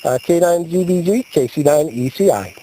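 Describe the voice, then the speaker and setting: A man's voice: fairly high pitch, animated, moderate pace, mellow. An American man giving casual, playful video commentary as an amateur radio operator outdoors at a campsite. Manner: joking, playful. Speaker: amateur radio operator filming a camping trip. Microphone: handheld video camera. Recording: noisy outdoor camcorder audio.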